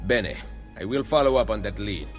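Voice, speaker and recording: An actor playing a resolute, commanding leader of Italian assassins in a video game, with an Italian accent. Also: male voice, on the low side, expressive, normal speed, lightly acted. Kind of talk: dramatic video game acting. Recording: long hall reverb, faint music behind.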